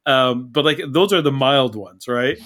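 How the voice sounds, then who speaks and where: A man co-hosting a podcast, telling stories in a relaxed, chatty way. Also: medium pitch, unhurried. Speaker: podcast co-host. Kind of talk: casual chat.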